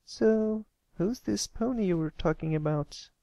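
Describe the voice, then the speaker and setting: Man doing a high, wistful little filly. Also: measured pace, creaky, dark tone, no room sound, highs cut off. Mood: hopeful. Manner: plaintive, questioning. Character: wistful young filly cartoon pony, anxious about growing up.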